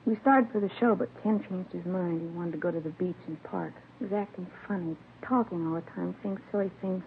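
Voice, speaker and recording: A woman acting an innocent high school girl in an old radio crime drama. She speaks earnestly and a little hesitantly, trailing off into afterthoughts. The sound is narrow and tinny with faint noise. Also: brisk, natural voice. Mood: uneasy, earnest. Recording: tinny, faintly noisy vintage radio recording.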